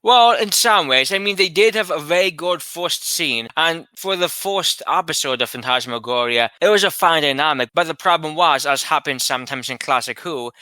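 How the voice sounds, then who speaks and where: A man co-hosting a casual chat, lively and animated, often restarting while he searches for words. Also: fairly high pitch, normal speed, few pauses, no echo. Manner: animated, rising into excited retelling. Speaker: podcast co-host.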